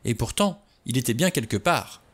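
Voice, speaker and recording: A man reading an audiobook, voicing a frightened young woman who speaks in a high, strained, urgent way. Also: lively intonation, normal speed, close to an everyday voice. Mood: insistent.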